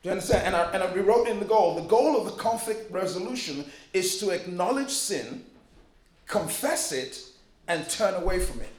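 Liberian-born pastor, high-pitched, emphatic and humorous sermon delivery, roomy hall sound. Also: male voice, lively intonation, moderate pace. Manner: emphatic preaching with humor and repetition.

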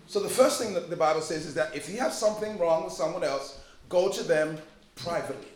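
Liberian-born pastor, high-pitched, emphatic and humorous sermon delivery, roomy hall sound. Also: male voice, a little fast. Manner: emphatic preaching with humor and repetition.

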